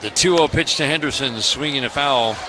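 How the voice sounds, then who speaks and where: A man's voice: medium pitch, a little fast. An American man calling baseball play-by-play as a live radio announcer. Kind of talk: live radio sports commentary. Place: stadium broadcast booth. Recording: clearly noisy ballpark broadcast.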